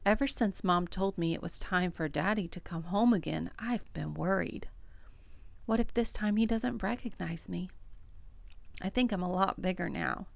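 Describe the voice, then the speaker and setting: A woman's voice: fairly low pitch, normal speed, vocal fry, no room sound. A woman voicing a small, anxious boy who longs for his daddy, told expressively as a children's storybook. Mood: hopeful, worried. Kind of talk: dramatic children's storybook narration in first person.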